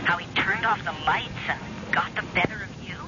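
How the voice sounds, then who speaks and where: A woman's voice: medium pitch, brisk, natural voice. A woman acting in an old-time radio drama as an anxious, insistent woman, pleading and worried. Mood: frightened, worried. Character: anxious, insistent woman fearing for a stubborn man's life. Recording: muffled, noisy old radio recording.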